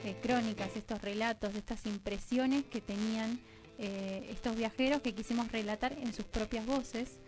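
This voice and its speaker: An Argentine woman speaks as a radio interview guest in a rather high voice. She explains in a conversational, halting way, often rephrasing mid-thought. Music is clearly heard behind her. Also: fairly even intonation, a little mellow, rough recording. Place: radio studio.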